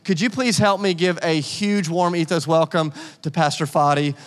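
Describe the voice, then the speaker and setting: A pastor speaks from the stage in a relaxed, conversational way, often restarting his phrases. His voice sits a little high for a man. Long reverb from the church hall trails behind each phrase. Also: normal speed, background noise. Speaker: lead pastor. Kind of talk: sermon and guest introduction. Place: church sanctuary.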